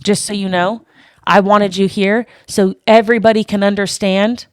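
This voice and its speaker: A woman hosting a live online panel, loud and forceful, swearing often and repeating herself. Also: mid-range voice, little pitch movement, normal speed, smooth, no echo, studio quality. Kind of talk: heated live-stream talk.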